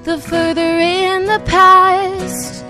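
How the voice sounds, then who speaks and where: A young woman singing a song live, then chatting casually with a laugh, speaking quickly and rambling. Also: little pitch movement. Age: young adult. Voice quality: deep for a woman. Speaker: singer-songwriter guest. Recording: live music performance.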